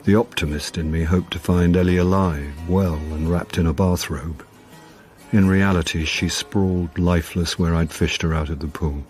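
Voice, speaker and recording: An actor playing a hapless middle-aged British man in a radio drama, wry and self-deprecating, with a halting, flustered manner. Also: sits low, fairly even intonation, a little mellow, faint music behind, natural voice. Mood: wry narrating. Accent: British English. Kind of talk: naturalistic radio drama acting with confiding narration.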